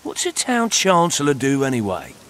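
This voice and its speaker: Grumbling, wildly swooping bandit. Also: male voice, mid-range voice, huge pitch swings, normal speed, a bit bright, a little hiss or hum. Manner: coarse, complaining. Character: grumbling, foul-mouthed male bandit thug. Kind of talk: video game dramatic dialogue.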